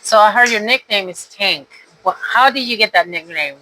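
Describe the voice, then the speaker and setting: A podcast host interviewing a guest at a boxing gym, asking short questions and adding soft "mm-hmm" backchannels. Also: mid-range voice, expressive, normal speed, no room sound, poor audio.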